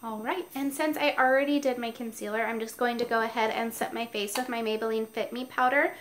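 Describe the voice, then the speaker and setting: A woman with a somewhat high voice and a stuffy nose explains her makeup routine in a chatty, step-by-step way. She talks casually from home. A faint hiss from the mic stays under her voice. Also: steady tone, brisk, a bit bright. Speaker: beauty vlogger. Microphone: noisy mic.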